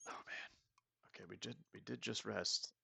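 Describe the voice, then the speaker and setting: A man's voice: lowish voice, fairly even intonation, measured pace, creaky, background noise. A young man casually commenting on a roleplaying game as he plays, thinking aloud and joking at his own mistakes. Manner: thinking aloud, chatty and self-mocking. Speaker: let's-play host.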